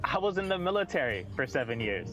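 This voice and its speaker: A goofy, over-eager young man on a first date, voiced by a male actor with a lively, swooping delivery. He blurts out strange remarks with total sincerity. Music plays steadily under the comedy radio play. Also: a little high, fast, rough recording. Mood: excited.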